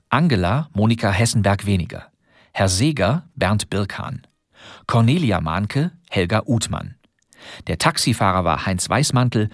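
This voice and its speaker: A male radio announcer with a fairly low voice, reading scripted credits. He is measured and formal, in a dry studio sound. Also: no echo.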